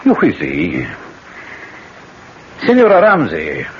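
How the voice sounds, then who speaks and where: Crafty foreign official, deliberate questioner, hugely varied intonation, dull vintage radio sound with faint noise. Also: male voice, low-pitched, wildly swooping pitch, heavily acted. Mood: sly, teasing. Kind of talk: 1970s radio drama acting. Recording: muffled old radio broadcast, faint noise.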